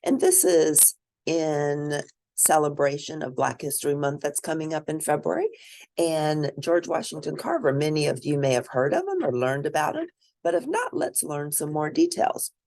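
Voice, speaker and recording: Very low-voiced woman reading a storybook. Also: big pitch swings, moderate pace, darkish tone. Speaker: host reading picture books aloud. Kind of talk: read-aloud children's storybook narration.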